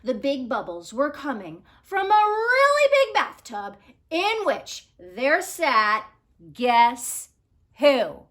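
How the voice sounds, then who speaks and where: A woman reading a picture book aloud to children in an expressive, theatrical way with deliberate phrasing. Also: sits high. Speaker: storybook reader.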